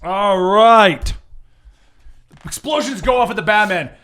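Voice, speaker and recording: A man with a notably high-pitched voice is game mastering at the table. He narrates the action in loose, conversational phrases, trailing off and picking back up as he describes it. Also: lively intonation, slow, fairly bright tone.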